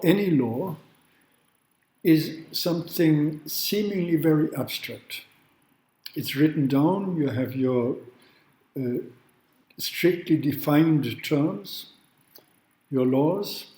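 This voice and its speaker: A man from Germany, a law professor giving a university lecture in a halting way, with restarts and throat clearing. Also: a little high, dark tone. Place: large classroom. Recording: echoey room.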